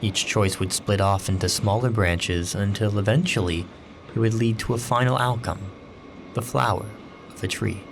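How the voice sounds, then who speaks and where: A man playing a brooding story host, delivering scripted dramatic narration in a deliberate, rhetorical way. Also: lowish voice, steady tone. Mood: musing. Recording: clear background train noise.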